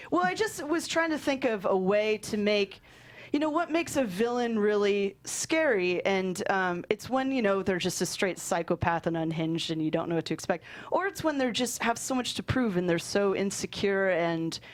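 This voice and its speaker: A woman's voice: mid-range voice, lively intonation, normal speed. A woman screenwriter in a casual interview, giggly and self-deprecating as she chats. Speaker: screenwriter guest.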